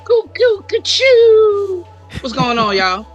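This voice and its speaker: A man with a high voice opens with a playful, showy catchphrase introduction. He is a co-host on a relaxed podcast, and faint music sits behind him. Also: slow, muffled recording. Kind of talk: casual chat.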